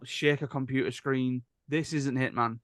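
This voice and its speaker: A man's voice: medium pitch, fairly even intonation, unhurried, fairly bright tone, dry recording, clean studio sound. A man hosting a casual podcast chat and sharing his opinions freely. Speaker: podcast host.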